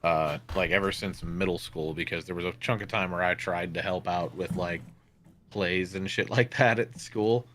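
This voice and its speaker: A man's voice: low voice, fairly even intonation, moderate pace, fairly bright tone, slight background noise. A young man chatting casually and swearing freely among tabletop players, rambling through a story. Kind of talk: casual chat at a tabletop game.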